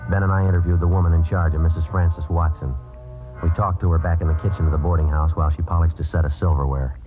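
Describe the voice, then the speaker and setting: 남자가 연기하는 빠르고 낮은 목소리의 무뚝뚝한 형사 조 프라이데이. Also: male voice, deep voice, fast talker, music in the background, natural voice. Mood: deadpan, factual. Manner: clipped, deadpan, matter-of-fact. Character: terse, businesslike male police homicide detective, narrating his case. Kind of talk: 1950s-style radio crime drama acting and narration. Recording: dull vintage radio recording.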